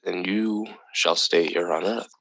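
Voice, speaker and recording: A calm, superior visitor from another planet in a radio drama. The voice is very low, with formal, measured, condescending delivery. The sound is close and dry. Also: male voice, creaky, dry recording, heavily acted, rough recording. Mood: coolly menacing. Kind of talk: old-time radio drama acting.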